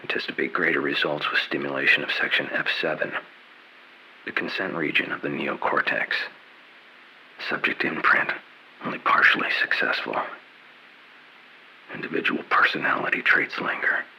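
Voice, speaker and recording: An actor playing a cold, arrogant, vengeful scientist villain in a video game, with a low, breathy, deliberate delivery full of contempt. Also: male voice, little pitch movement, sharp tone, exaggerated voice, low-quality recording. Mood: cold, clinical. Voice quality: low, breathy, slipping toward whisper.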